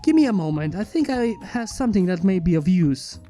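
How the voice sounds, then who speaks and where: A woman's voice: on the low side, sing-song, brisk, warm tone. A woman voicing a studious, earnest pony princess with a precise, formal, inquisitive manner in a fan fiction story reading. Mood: intrigued. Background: background music.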